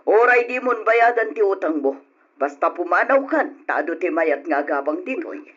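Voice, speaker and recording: A man playing a stern, husky Ilocano landlady. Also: high voice, animated, normal speed, fairly bright tone. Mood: scolding. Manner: scolding, blunt. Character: stern older female landlady demanding rent. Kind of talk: old radio drama acting. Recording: dull lo-fi old radio recording.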